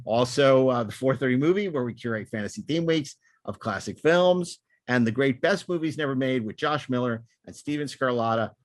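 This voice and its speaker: A man's voice: medium pitch, expressive, a little fast, hardly any pauses, no echo. A man hosting a podcast in casual, enthusiastic chat, rambling with repeated words and stop-start phrasing. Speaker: podcast host.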